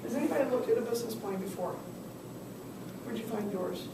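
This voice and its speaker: A woman's voice: a little high, animated, brisk. A woman consultant teaching a business workshop, chatty and asking the class questions, while losing her voice. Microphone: far camera. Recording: echoey room from far off, noisy.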